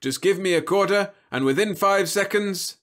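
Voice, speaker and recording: A man voicing a smooth, persistent robot salesman with polished, winning sales patter in a storybook reading. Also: high-pitched, moderate pace, a bit bright, pitched above the performer's own voice.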